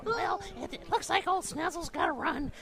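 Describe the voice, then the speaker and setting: Squeaky manic goblin salesperson. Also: female voice, animated, moderate pace, gravelly, crowd noise, exaggerated voice. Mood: hurried, sheepish. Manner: salesy, giggly, exaggerated. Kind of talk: comedy skit in a roleplay podcast.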